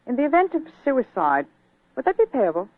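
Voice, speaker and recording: An actress playing a cool, unsentimental wife in a 1940s radio drama, with a composed, matter-of-fact manner. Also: female voice, high voice, huge pitch swings, brisk, no room sound, heavily acted. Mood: cool, defiant. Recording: old lo-fi 1940s radio recording.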